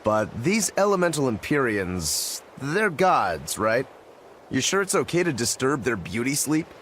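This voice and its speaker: A man's voice: mid-range voice, very animated, a little slow, fairly bright tone, a little hiss or hum. An actor playing a casual, wry warrior companion in a video game, laid-back in his phrasing.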